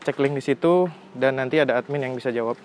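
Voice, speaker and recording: Young male vlogger, medium-pitched, slangy and exclamatory, casual outdoor bike review, light background noise. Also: fast talker. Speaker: motorcycle reviewer. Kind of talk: casual hands-on vlog review. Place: outdoors on a residential street.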